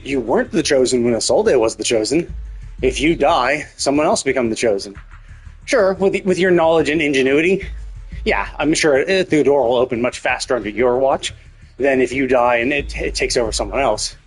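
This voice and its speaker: A man's voice: mid-range voice, animated, normal speed. A man giving casual, opinionated live-stream commentary on a game, rambling and often tagging on "right?". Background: game music.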